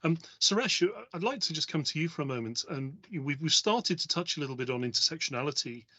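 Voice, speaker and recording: Bright-voiced man moderating a panel. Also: on the high side, lively intonation, quick pace, husky, dry recording.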